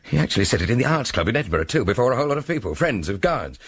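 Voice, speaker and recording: An actor playing a hot-headed, indignant gentleman with an emphatic way of talking, in a radio drama. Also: mid-range voice, sing-song, rapid-fire, slightly rough.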